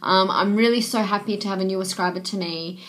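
A young woman speaks to her webcam from a small bedroom, her voice roughened by a cold. She talks earnestly and a little rambling, filling gaps with "um" and thanking viewers over and over. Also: mid-range voice, fairly quick, fairly bright tone. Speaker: vlogger. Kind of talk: casual thank-you messages to viewers. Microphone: webcam at desk.

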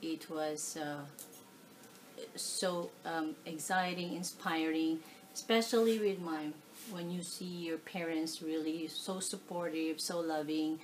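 A middle-aged woman from the Philippines with a Filipino accent, answering oral history questions in a living room, animated, with many fillers. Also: low-pitched, slow pace, a little hiss or hum, poor audio. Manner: animated, gestures while talking, frequent fillers. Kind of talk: casual oral history interview. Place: living room, seated on a leather sofa.

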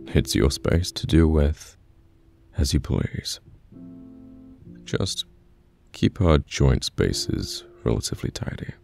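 A man playing a caring, patient boyfriend in an ASMR roleplay, speaking gently and haltingly with reassurance, close to the mic. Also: rumbling low, creaky, exaggerated voice. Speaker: voice actor in an audio roleplay. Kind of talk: soft-spoken ASMR boyfriend roleplay, pillow talk. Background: soft piano or guitar music.

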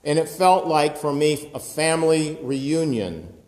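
A man's voice: on the high side, animated, a little slow, darkish tone. A man in his fifties preaching a sermon in a conversational way, filmed close up on a phone in a church sanctuary. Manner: conversational preaching with gestures and asides. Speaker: pastor. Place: church sanctuary with high ceiling. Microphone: phone camera close up, looking upward. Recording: echoey church with long reverb.

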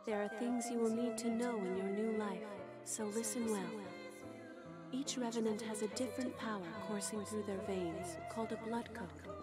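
Solemn video game guide, female, slightly high voice, measured and deliberate, echoing over prominent music. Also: steady tone. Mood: solemn, instructive. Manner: slow, deliberate speech. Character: solemn, calm female mentor guiding a newly reborn revenant. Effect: echo. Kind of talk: scripted video game tutorial dialogue. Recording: loud background music.

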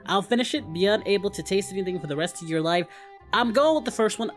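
A woman's voice: medium pitch, animated, fast, soft background music, close to an everyday voice. A woman playing a goofy, easygoing and boastful male anime warrior hero in improvised, folksy, rambling parody game chat. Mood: cocky. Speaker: host of a gaming channel.

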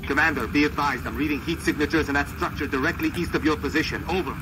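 An actor playing a cool, watchful military marksman, making measured, dry radio reports in a game. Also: fairly high pitch, background noise, natural voice. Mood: calm. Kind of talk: radio-procedure game dialogue. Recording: game audio with loud music underneath.

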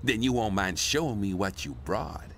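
An actor playing a sceptical, gruff city guard in a fantasy video game, stern and curt. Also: male voice, on the low side, expressive, moderate pace, a bit bright, a little hiss or hum. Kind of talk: video game dialogue acting.

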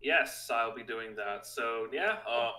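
A man's voice: mid-range voice, lively intonation, slow, pushed and bright. A man casually moderating a panel Q&A, recorded in an echoey room.

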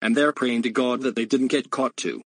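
A man voicing a fugitive hiding from the police, talking in a hushed way and explaining to viewers. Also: medium pitch, fairly even intonation, moderate pace, slightly rough, no room sound. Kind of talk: amateur voice acting.

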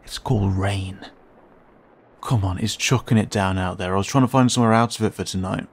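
A man voicing a cheeky, skittish young raccoon boy in an audio roleplay, muttering and grumbling with halting, sarcastic protests. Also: lowish voice, fairly even intonation. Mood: cheeky, defensive.

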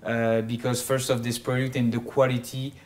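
A man in his thirties with a French accent speaks in a mid-range voice. He talks haltingly, pausing and restarting as he searches for English words in a small studio. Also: medium pitch, flat delivery. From France. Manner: hesitant, many fillers and restarts. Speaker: bakery owner. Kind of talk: casual interview.

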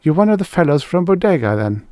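Man voicing a feisty, high-pitched young woman raider. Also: very animated, mellow, dry recording, studio quality. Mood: friendly, chatty. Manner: brisk, indignant whisperer with casual chattiness.

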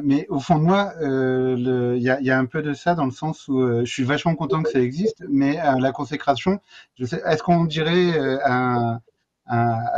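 A middle-aged man answers on a panel over a video call. He speaks tentatively, stopping and restarting, in a mid-pitched voice with slightly dull sound. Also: a little mellow. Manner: tentative, careful, stop-and-start. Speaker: guest comic book author. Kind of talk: casual panel discussion.

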